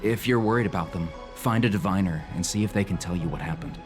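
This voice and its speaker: A man's voice: low voice, fast, music in the background, lightly acted. A man playing a weary but helpful guard in a game, talking matter-of-factly. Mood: grave. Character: weary male guard on a long shift, helpful. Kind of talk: English game voice acting.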